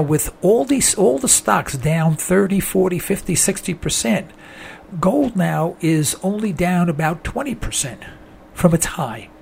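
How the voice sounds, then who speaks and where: A man with a hoarse, strained voice hosts a call-in radio show, sounding worn down by a throat infection. His fairly high voice carries a gravelly edge. He rambles in a folksy way, calling his listeners folks. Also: slight background noise. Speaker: radio talk show host.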